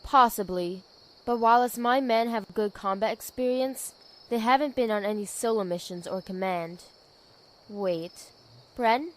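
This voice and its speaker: A woman playing a dutiful, weary knight commander in an audio drama, speaking in a measured, authoritative way. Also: on the high side, lightly acted. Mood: businesslike.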